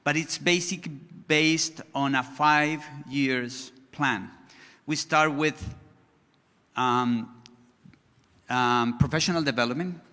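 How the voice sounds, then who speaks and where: A male conference speaker who is not an English speaker, talking slowly in a halting way with many restarts as he presents to an audience and takes questions. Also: medium pitch, bright, forceful tone, smooth. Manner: slow, halting, frequent restarts. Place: large conference hall. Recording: hall reverb.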